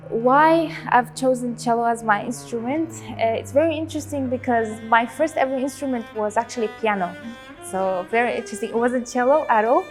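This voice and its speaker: A woman in her twenties, born in Syria, a cellist and teacher speaking in a relaxed interview, with many pauses and fillers. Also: on the high side. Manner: hesitant, many fillers, warm about music. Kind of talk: relaxed personal interview answers.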